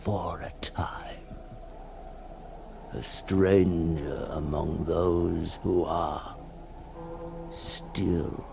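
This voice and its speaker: A man playing an anguished ghoul in a theatrical 1960s radio horror monologue, halting between whispers and cries. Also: sits low, steady tone, gravelly, music in the background, exaggerated voice. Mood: bleak, resigned. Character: anguished male ghoul recoiling from his own monstrous reflection. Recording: noisy old 1960s radio recording.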